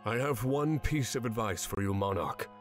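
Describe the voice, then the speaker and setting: Guy doing grim resolute game advisor, grave warnings, mid pitch, music under it. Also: expressive, moderate pace, natural voice. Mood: grim, insistent. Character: grim, resolute male guide advising a monarch to kill. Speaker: visual novel character voice. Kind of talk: acted dialogue read in a let's play. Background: background music.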